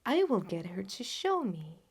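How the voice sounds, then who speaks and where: A woman's voice: medium pitch, sing-song, measured pace, husky, full character voice. A woman voicing a confident, analytical male detective in a storybook reading, assured and instructive.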